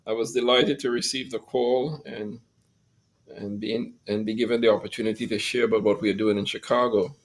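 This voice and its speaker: A man's voice: on the low side, steady tone, brisk, poor audio. A man in his fifties, from Trinidad and Tobago, earnestly telling his life story as a guest. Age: 50s. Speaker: guest, music school founder. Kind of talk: personal story in an interview.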